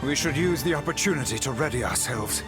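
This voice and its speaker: An actor voicing a pompous, scholarly, wary old magical companion in a video game, speaking in formal, archaic phrases. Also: male voice, medium pitch, animated, fast, natural voice. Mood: lecturing. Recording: loud music behind the voice.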